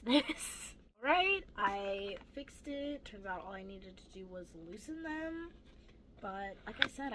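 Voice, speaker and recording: A teenage girl with a hoarse, gravelly voice, chatting casually and rambling through a crafting vlog with self-deprecating asides. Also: a little high, a little hiss or hum, poor audio. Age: teens. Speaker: craft vlogger.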